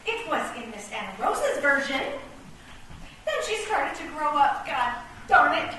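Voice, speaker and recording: A woman's voice: sits high, sing-song, measured pace, sharp tone, recorded from a distance, exaggerated voice. A woman playing a curious, critical, sassy chess castle with a wry, theatrical delivery in a comic radio play. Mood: wistful, playful. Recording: echoey, faintly noisy stage recording from afar.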